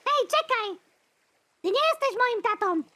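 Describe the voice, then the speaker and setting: A man voicing a whiny kidnapped little boy who wails and shouts for his father. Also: lively intonation, unhurried, over music. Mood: wailing. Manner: shrill wailing.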